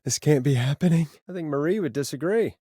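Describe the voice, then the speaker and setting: A man's voice: fairly high pitch, animated, fairly quick, mellow, husky, no room sound, clean studio sound. A man acting an earnest, wry late-night radio DJ caught in a crisis in a video game, talking conversationally and sometimes hesitantly. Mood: stern, accusing. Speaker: radio host.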